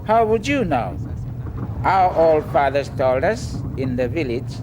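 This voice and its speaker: Sceptical village man played by a male actor, animated intonation, clear outdoor traffic noise behind. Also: fairly high pitch, measured pace. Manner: conversational, dismissive of youngsters. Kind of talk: acted educational film dialogue. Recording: noisy outdoor street with vehicles.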